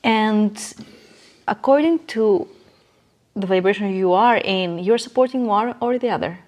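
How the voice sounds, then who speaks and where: A woman's voice: medium pitch, unhurried, darkish tone. A woman speaking as a podcast guest in a reflective conversation, explaining her views earnestly. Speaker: podcast guest, business coach.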